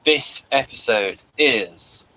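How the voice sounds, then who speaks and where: A man hosting a casual review show, talking in a halting, rambling way and reading summaries aloud. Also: mid-range voice, animated, sharp tone, poor audio. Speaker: podcast host.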